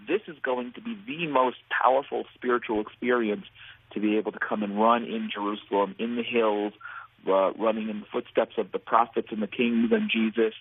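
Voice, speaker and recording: A man's voice: lowish voice, fairly even intonation, a little fast, husky. An American man being interviewed as a guest, talking earnestly and at length, often restarting his sentences. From United States. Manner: earnest, rambling with many restarts. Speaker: guest, nonprofit founder. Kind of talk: phone interview. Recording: phone line.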